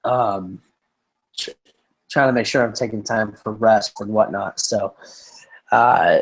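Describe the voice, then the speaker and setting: A man's voice: lowish voice, fairly even intonation, slow. A man co-hosting a casual conversation who is getting over a lung infection, so his voice is scratchy and tired, and he rambles with many restarts and fillers. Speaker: podcast co-host.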